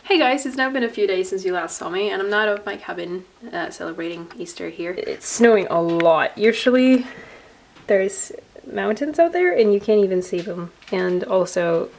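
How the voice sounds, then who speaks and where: A woman's voice: medium pitch, animated, normal speed, gravelly, slight background noise. A young woman from Norway chatting casually to a handheld camera, telling a story with frequent giggles. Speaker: vlogger. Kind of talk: casual vlog chat to camera. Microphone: handheld camera at arm's length.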